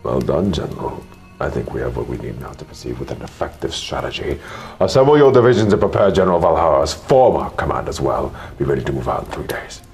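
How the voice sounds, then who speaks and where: Man playing a very deep-voiced, menacing tyrant king. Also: very animated, vocal fry, heavily acted. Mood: commanding. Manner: slow, measured, sardonic, commanding. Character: cold, menacing, imperious older male tyrant king with sorcerous power. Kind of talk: fantasy radio theater acting. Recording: loud music behind the voice.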